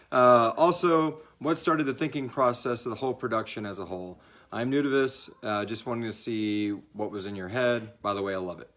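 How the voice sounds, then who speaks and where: A man hosts a live question-and-answer special in a loose, chatty style. His voice sits at a middle pitch. He rambles informally, swears a little and is quick to be amused. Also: fairly bright tone. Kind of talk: casual chat, reading out listener questions. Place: live stream with a group of castmates in the room.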